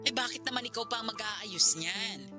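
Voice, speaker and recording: A woman's voice: a little high, moderate pace, sharp tone, faint music behind. A woman playing a protective, disapproving middle-aged grandmother in a Filipino radio drama. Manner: assertive, motherly.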